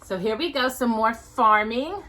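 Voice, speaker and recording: American lady from texas reading a kids fact book out loud, chatty, bright voice, pitch moves around a lot. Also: lively intonation, a little slow. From Texas, United States. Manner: chatty asides, stumbles over longer words. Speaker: storytime host. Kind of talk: reading a children's nonfiction book aloud with casual asides.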